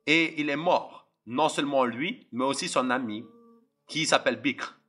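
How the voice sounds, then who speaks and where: Impassioned young man preaching, husky and tense. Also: medium pitch, measured pace, pushed and bright. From Algeria. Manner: impassioned, repetitive, addresses listeners directly. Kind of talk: preaching testimony.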